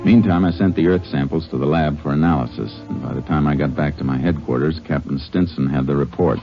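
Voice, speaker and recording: Muffled vintage radio recording with music. A low-voiced American lawman, steady and methodical, talks in deliberate, plain words in a 1950s western radio drama. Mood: measured narrating. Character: steady, methodical male Texas Ranger investigator in a western. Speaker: lead actor in a radio drama. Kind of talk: 1950s radio drama acting with first-person narration.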